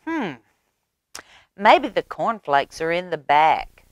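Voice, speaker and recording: A woman's voice: fairly low pitch, big pitch swings, slow pace, no echo, dull audio. A woman voicing a bossy, eager little big sister from a picture book in an emphatic voice. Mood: planning, eager.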